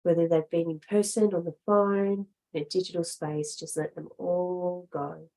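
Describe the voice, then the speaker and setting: A woman teaching yoga, guiding relaxation and meditation in short, measured phrases with long pauses. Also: fairly low pitch, level tone, warm tone, soft background music, poor audio. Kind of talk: guided relaxation and meditation instruction.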